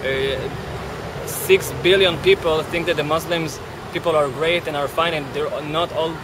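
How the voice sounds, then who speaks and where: A man in his thirties answering street interview questions at night, earnest and halting as he searches for words. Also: on the high side, fairly even intonation. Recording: clear street noise behind.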